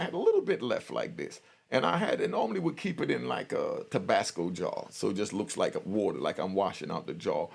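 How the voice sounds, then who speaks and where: A man's voice: mid-range voice, creaky. A middle-aged man telling stories and giving commentary in a casual, slangy, streetwise way, often checking in with the listener. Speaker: YouTube storyteller and commentator. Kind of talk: casual spoken prison storytelling and commentary.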